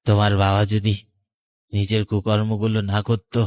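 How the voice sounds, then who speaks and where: A man playing the vengeful ghost of a murdered young lover in an animated horror story, accusing and deliberate. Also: fairly low pitch, lightly acted. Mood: bitter, sorrowful.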